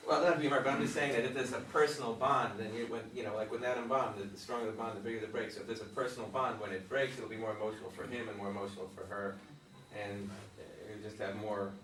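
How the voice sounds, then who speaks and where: A man's voice: on the low side, fairly even intonation, fast, fairly bright tone, slight background noise. A man in a theatre discussion making an emphatic suggestion about the drama. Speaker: audience member giving feedback. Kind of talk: workshop discussion. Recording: echoey room, distant mic.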